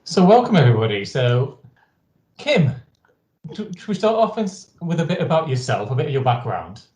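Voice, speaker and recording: Halting male podcast host, echoey. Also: mid-range voice, big pitch swings, darkish tone. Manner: halting, a little stumbling. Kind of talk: interview hosting. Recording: echoey room with music behind. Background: music.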